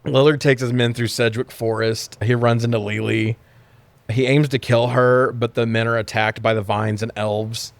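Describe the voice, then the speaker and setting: A man's voice: mid-range voice, flat delivery, moderate pace, gravelly, dry recording. A man co-hosting a podcast, recapping a film in casual, jokey chat with plenty of swearing. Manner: jokey, irreverent, profane. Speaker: podcast co-host reviewing movies.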